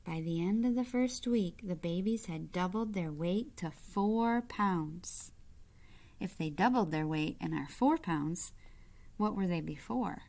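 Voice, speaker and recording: A woman reading a children's picture book aloud like a teacher, with expressive, deliberate phrasing and short asides to young listeners. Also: lowish voice. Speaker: read-aloud storyteller, teacher-like.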